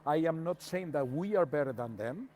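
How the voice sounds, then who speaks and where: A man from Argentina whose first language is Spanish, a soccer commentator speaking careful, halting English with many fillers and a Spanish accent as a guest on a remote call. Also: fairly high pitch, expressive, dark tone, slight background noise. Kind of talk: casual interview by remote call.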